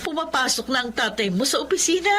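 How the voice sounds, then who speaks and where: An actress playing a devoted, self-sacrificing young wife in a radio drama, speaking earnestly and tenderly. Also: female voice, sits high, lively intonation, fast, bright, forceful tone, slight background noise. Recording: clear music bed behind.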